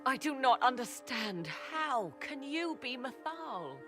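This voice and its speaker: A woman's voice: sits high, big pitch swings, over music, heavily acted. A woman playing a proud, learned witch in a video game, speaking in formal, archaic, mysterious phrases. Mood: shocked, angry. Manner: archaic, measured, theatrical phrasing. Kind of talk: video game cutscene acting.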